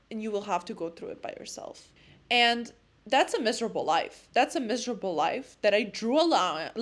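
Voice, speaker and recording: Blunt, impassioned Serbian-accented woman vlogger. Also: on the high side. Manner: impassioned, blunt, repeats words when emphatic. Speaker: lifestyle vlogger. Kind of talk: casual monologue to camera.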